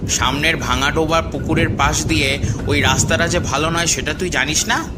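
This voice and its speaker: Brief: male actor as anxious cartoon vegetable seller, slightly high voice, nagging and cautious, loud music behind. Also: steady tone, normal speed, lightly acted. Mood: worried. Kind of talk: animated horror story dialogue.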